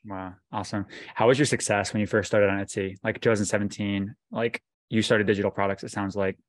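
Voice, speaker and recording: A man's voice: fairly low pitch, steady tone, brisk, no echo, studio quality. A man hosting a podcast interview in casual chat, asking informal, rambling questions. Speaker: podcast host interviewing a guest.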